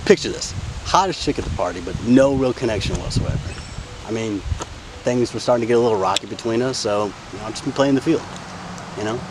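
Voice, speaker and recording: A man's voice: mid-range voice, animated, fast talker, background noise, close to an everyday voice. An actor playing a brash, womanizing friend who talks in casual, slangy, foul-mouthed rambles in a film drama. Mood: boastful, casual. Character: brash, womanizing male buddy asking a favor.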